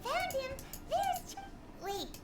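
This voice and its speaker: Super high little kid brother for a game, all gushy and bouncy, roomy echo. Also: female voice, very animated, slow, far from the mic, over loud music, heavily acted. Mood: excited, awed. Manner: gushing, wide-eyed, innocent. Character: excitable, adoring little boy, younger brother. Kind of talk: video game voice acting.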